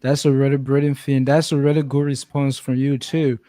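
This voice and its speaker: A man's voice: mid-range voice, level tone, moderate pace, dark tone, no echo. A man from Africa hosting a casual interview, affirming and repeating words for emphasis. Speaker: interview host.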